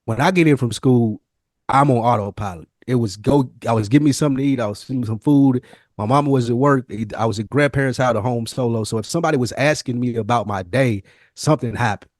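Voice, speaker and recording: A man's voice: medium pitch, fast, no echo, clean studio sound. A man with an American accent speaking candidly in slangy, sometimes profane, reflective podcast conversation. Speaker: podcast co-host. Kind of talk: casual reflective podcast chat.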